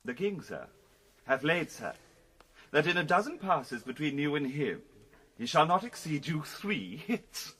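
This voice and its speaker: A man's voice: on the high side, very animated, unhurried, pushed and bright, husky, heavily acted, poor audio. An actor playing an affected, obsequious young male courtier in a Shakespearean radio drama, formal and ornate in his address. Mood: fawning, formal. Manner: formal, fawning, ornate phrasing.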